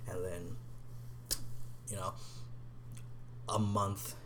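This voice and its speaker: A young man with a fairly low voice talks casually to the camera in a soft, hesitant way. He fills his answers with ums and restarts and sometimes sinks to a near whisper. A faint hiss of noise sits under the voice. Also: level tone, drawn-out words. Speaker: vlogger. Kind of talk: casual vlog answering tag questions.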